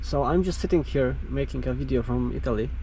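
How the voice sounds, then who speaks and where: A young man whose first language is Polish, a truck driver vlogging casually outdoors at parking spots. He talks in a halting way with many fillers. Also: medium pitch. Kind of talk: casual vlog talk to camera. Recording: outdoor vlog audio with road noise.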